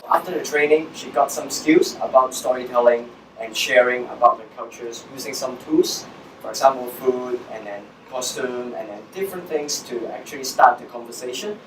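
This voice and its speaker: A young man from Hong Kong, speaking with a Hong Kong accent, gives a talk in an explanatory way. His voice sits at a middling pitch. It comes from some distance in an echoey room, with faint noise behind it. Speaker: social entrepreneur giving a guest talk. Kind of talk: public presentation to students.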